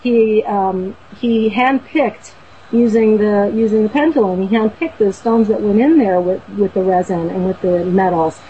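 A woman's voice: mid-range voice, moderate pace, narrow, tinny audio, slight background noise. A woman from Boston, a guest telling a story in an earnest, rambling way, full of fillers and restarts. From Boston, USA. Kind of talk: casual storytelling on a talk show.

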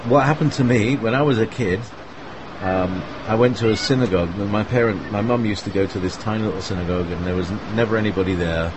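A man's voice: lowish voice, fast. A man hosting an online group chat, talking in a loose, meandering way full of fillers and small laughs. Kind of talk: casual rambling talk. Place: online voice call. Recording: clear background noise.

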